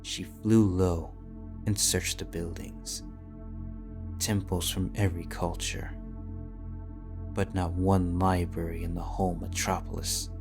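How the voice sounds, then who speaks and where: Casting: low, even-toned male storyteller, unhurried, with clear music playing behind. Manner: slow, measured, somber. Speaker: audiobook narrator. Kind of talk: scripted fanfiction narration. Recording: music bed under the reading.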